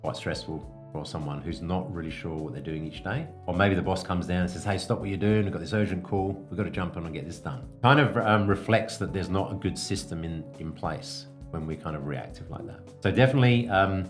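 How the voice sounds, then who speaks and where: A man with a low voice talks like a business coach hosting a podcast, with faint guitar music behind him. He explains things in a plain-spoken, informal way, feeling his way through phrases and adding casual asides. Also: fairly quick, hardly any pauses.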